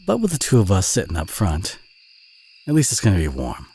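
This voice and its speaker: A man with a Southern US accent playing a kind, protective, bashful country boy in an audio roleplay, speaking in a gentle, folksy, hesitant way with a husky voice. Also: low voice, wildly swooping pitch, a little fast, a little hiss or hum, exaggerated voice. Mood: shyly pleased. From southern United States. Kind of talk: audio roleplay acting, addressed to the listener.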